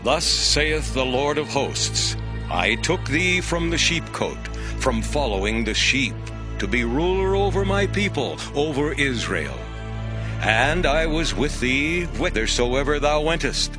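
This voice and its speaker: A man playing a solemn, authoritative prophet in a dramatized audio Bible, with measured, declamatory delivery. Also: medium pitch, lightly acted. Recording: clear music bed behind voice.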